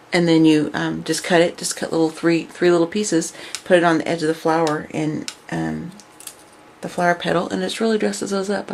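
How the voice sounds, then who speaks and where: A woman casually showing her craft projects and chatting about them, her voice raspy from overuse and her talk full of fillers. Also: lowish voice, slight background noise. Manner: chatty, filler-heavy, enthusiastic. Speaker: craft vlogger. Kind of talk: casual show-and-tell.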